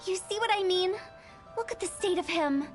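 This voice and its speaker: A woman's voice: very high-pitched, moderate pace, music in the background. A woman voicing a playful, self-assured young funeral parlor director in a video game, with a breezy, teasing manner. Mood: explanatory.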